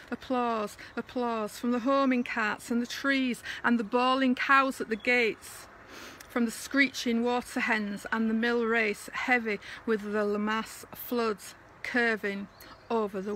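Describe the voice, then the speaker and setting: Female poetry reader, higher-pitched and bright, unhurried dramatic delivery, some background noise. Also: flat delivery. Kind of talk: slow dramatic poetry recitation.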